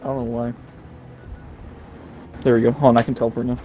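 A man's voice: medium pitch, level tone, quick pace, mellow, a little hiss or hum, rough recording. A man talking casually with friends in a voice chat while playing a game. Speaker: gamer in a group voice chat.

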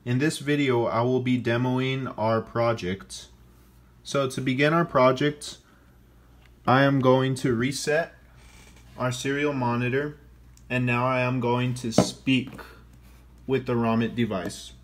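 A young man gives a product demonstration as a student presenter. He speaks slowly, with halting, deliberate phrasing, in a mid-range voice. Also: medium pitch, fairly even intonation. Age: young adult.

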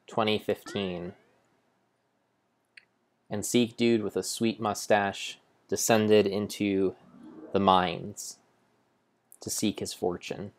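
Young guy reading out a game like an epic tale, real low voice, takes his time, solemn. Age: young adult. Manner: solemn, deliberate, theatrical storyteller. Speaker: game streamer. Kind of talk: dramatic storybook-style narration over gameplay.

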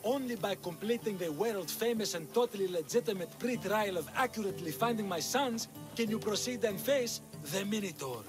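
A harried father in a video game, high-voiced and exasperated, announcing things with theatrical grandeur. Clear game music runs under him. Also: animated, fairly quick, few pauses. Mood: pompous. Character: harried middle-aged father of three unruly sons.

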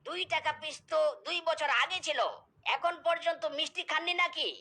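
A woman's voice: extremely high pitch, fairly even intonation, fairly quick, sharp tone, full character voice. A woman voicing a blunt, exasperated sweet-shop seller in a comedy cartoon, explaining things with open scorn. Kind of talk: comedy cartoon character dialogue.

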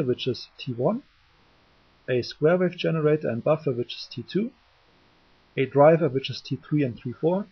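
A man gives a methodical technical explanation, halting slightly as he goes. His voice sits a little high for a man and is gravelly. The recording is dull and lo-fi, as from a cheap microphone. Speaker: electronics hobbyist presenter. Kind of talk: explanatory tutorial narration. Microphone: cheap DIY microphone, voice-over.

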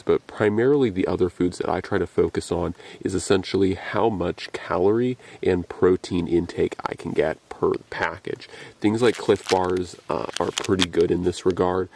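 Low, monotone young man, gravelly. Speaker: outdoor vlogger. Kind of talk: casual explanatory talk. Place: outdoors in woods. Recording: faint background noise outdoors.

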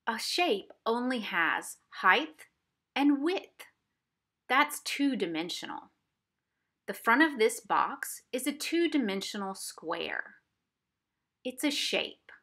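A woman's voice: fairly high pitch, very animated, slow pace, pauses often, a bit bright, slightly rough. A woman art instructor giving a simple, explanatory craft demonstration for children. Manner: simple, explanatory, addressing young viewers. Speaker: art instructor for a museum family program. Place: indoors at a craft table.

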